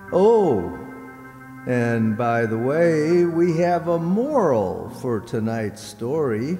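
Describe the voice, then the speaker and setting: A man plays a gleefully macabre radio horror host. His dark, mellow voice teases very slowly. It echoes in a hall, with faint music. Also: medium pitch, full character voice. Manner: teasing, drawn-out, ghoulish. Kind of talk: old-time radio horror hosting. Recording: echoey hall, live stage performance.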